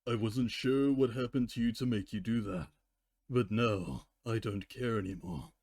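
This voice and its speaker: A man voicing a weary, sorrowful, ancient female pony in a fan fiction reading, speaking slowly and solemnly. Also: lowish voice, a little mellow, no room sound, clean studio sound. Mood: solemn regret. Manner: slow, deliberate, solemn.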